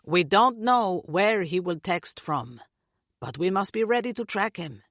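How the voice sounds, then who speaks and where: Throaty, arrogant police captain, slow and measured, voiced by a woman. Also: mid-range voice, expressive, fairly bright tone, no room sound, studio quality. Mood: cold.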